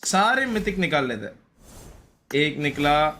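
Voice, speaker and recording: A man's voice: a little high, big pitch swings, slow, sharp tone, a little hiss or hum. A man giving casual, slangy live gaming commentary, often repeating short pleading phrases. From India. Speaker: gaming streamer.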